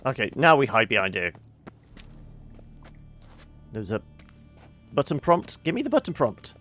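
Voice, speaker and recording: A man's voice: medium pitch, huge pitch swings, measured pace, a bit bright, soft background music. A man streaming a video game and chatting with wry asides. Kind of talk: casual let's play commentary.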